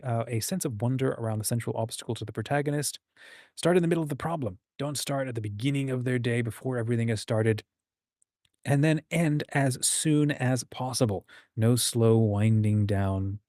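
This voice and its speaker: A man's voice: mid-range voice, a little mellow, no echo, clean studio sound. A man hosting an online writers' group, explaining things informally and conversationally while leaning on his key points. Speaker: host and anthology editor of a writing community. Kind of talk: informal explanatory talk to an online group, screen-share walkthrough.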